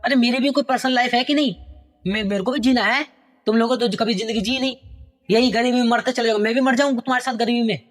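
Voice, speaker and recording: A man's voice: extremely high pitch, steady tone, a bit bright, voice pushed up. A man doing a furious spoiled young son. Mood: angry. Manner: indignant, raised voice, rapid outbursts. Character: angry entitled young son, shouting.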